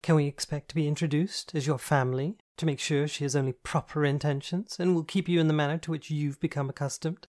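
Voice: mid-range voice, fairly even intonation, fast, hardly any pauses, a little mellow, pitched above the performer's own voice, natural voice. A reader doing a flamboyant camp young man. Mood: teasing. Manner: grand, theatrical, teasing. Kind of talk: audiobook dialogue.

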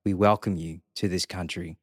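A man's voice: sits low, fairly even intonation, normal speed, slightly rough, no echo, clean studio sound. A man from Australia giving a formal, scripted acknowledgement of country and introducing the episode.

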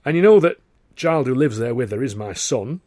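A man's voice: mid-range voice, animated, moderate pace, darkish tone, dry recording, clean studio sound, close to an everyday voice. A man voicing a middle-aged male theatre impresario who is jovial but touchy, hesitant then snapping. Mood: probing.

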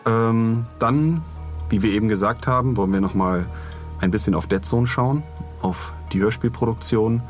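A man's voice: lowish voice, normal speed, faint music behind. A man co-hosting a podcast in casual, joking conversation. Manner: joking, bantering. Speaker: podcast co-host.

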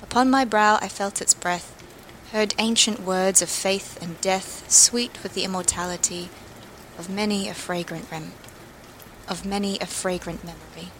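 A woman reading poetry aloud in a measured, expressive manner. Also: medium pitch, background noise. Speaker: guest poetry reader. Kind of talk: poetry recitation.

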